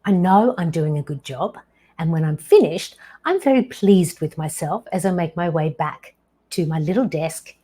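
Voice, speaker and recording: A woman in her fifties hosting her own show, telling a personal story slowly and deliberately, with dramatic pauses. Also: lowish voice, animated, mellow, slightly rough. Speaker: podcast host.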